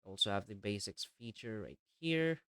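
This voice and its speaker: A man with a fairly low voice walks through software steps as a tutorial presenter. His tone is clean and smooth, and his delivery is plainly instructional. The voice-over is dry, with hardly any room sound. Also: animated, normal speed, no echo.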